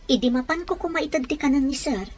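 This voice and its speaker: An actress playing an anxious, wary household helper who speaks earnestly and respectfully in a radio drama. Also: female voice, high voice, lively intonation, fast, slight background noise. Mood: worried, confiding.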